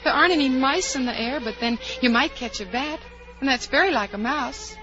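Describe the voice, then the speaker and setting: A woman's voice: high voice, lively intonation, a little fast, bright, forceful tone, faint music behind. An actress playing a curious, dreamy, chatty little girl who wonders aloud in a 1940s radio drama. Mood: wondering, chatty. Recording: muffled old 1940s radio recording.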